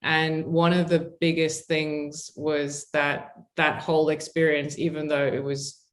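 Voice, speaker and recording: A woman's voice: deep voice, flat delivery, a little slow. A woman from Zambia hosting an interview, speaking in an encouraging, conversational way. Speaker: host.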